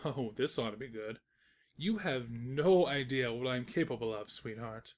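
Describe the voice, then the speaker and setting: A man's voice: medium pitch, normal speed, natural voice. A man playing a smug, teasing narrator, reading a comic fan story as scripted narration. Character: smug, teasing unseen male narrator who heckles the heroine.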